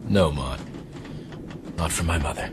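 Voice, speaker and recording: Earnest castaway gentleman sailor. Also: a bass voice, unhurried, noisy background. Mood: tender. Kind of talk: broadcast radio drama acting.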